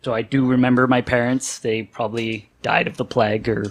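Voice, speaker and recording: A man's voice: mid-range voice, level tone, brisk. A man chatting casually and jokingly as a player on a tabletop role-playing podcast, often poking fun at himself. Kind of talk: casual chat describing a game character.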